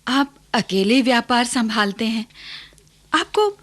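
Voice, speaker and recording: A woman's voice: on the high side, animated, slow. An actress playing a sweet-talking, scheming new wife and stepmother in a radio play, coaxing and deferential. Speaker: actor in a radio drama. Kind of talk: Hindi radio play acting.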